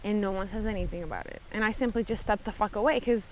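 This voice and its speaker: A woman speaks in a medium-pitched voice, like a podcaster talking casually on her own. She is candid and conversational, and she swears freely. A faint ambient rumble of noise sits behind her. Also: fast, a little mellow. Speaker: podcast host. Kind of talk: casual solo commentary. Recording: noisy background, vehicle-like ambient rumble.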